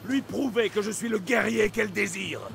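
An actor playing a proud, lovesick young soldier in a French video game dub, intense and wounded. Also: male voice, high-pitched, normal speed, vocal fry, a bit bright, exaggerated voice. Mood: bitter, desperate. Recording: music behind the voice.

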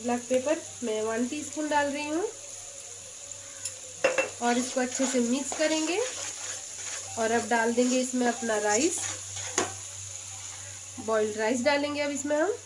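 A woman with a high, light voice talks through a recipe at her stove, chatty and patient as she explains each step. She is recorded in a home kitchen on a phone or camera. A faint noise floor sits under her voice, with frying and stirring sounds in the background. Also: measured pace, pauses often. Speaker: cooking channel host. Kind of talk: step-by-step cooking instructions.

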